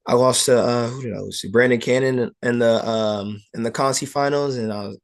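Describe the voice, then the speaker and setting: A young adult man, a wrestler being interviewed, talking casually and personally in a halting way, peppered with "like" and "you know". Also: mid-range voice, steady tone.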